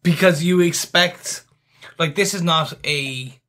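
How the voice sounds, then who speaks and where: A man's voice: on the high side, slow pace, bright, forceful tone. A man co-hosting a casual podcast, chatty and enthusiastic, restarting his sentences often. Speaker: podcast co-host.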